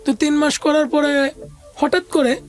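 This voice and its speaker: A woman's voice: high-pitched, steady tone, measured pace. A woman with a Bangladeshi accent telling a personal story as an interview guest, in casual, conversational language with some English words mixed in. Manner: casual colloquial Bengali with occasional English business words. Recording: music playing under the voice.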